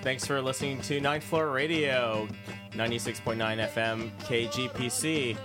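A man's voice: mid-range voice, fairly even intonation, normal speed, music in the background. A man hosting a casual interview show on the radio, chatting informally from a studio.

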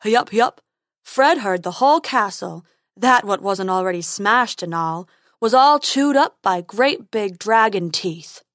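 Goofy stubborn unicorn guy, slow and emphatic, talks about himself, woman doing it. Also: mid-range voice, animated, no room sound, studio quality, natural voice. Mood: eager. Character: silly stubborn male unicorn.

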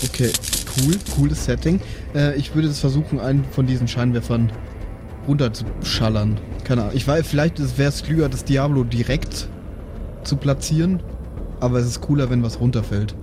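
A man's voice: medium pitch, normal speed. Slangy male tabletop player over music. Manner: slangy, informal. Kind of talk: casual chat at a game table.

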